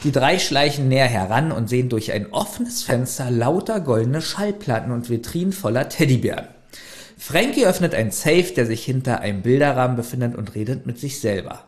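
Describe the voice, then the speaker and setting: A man's voice: medium pitch, lively intonation, fairly quick. A man co-hosting a casual podcast, reading a plot summary aloud and breaking off for chatty side comments. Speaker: podcast co-host.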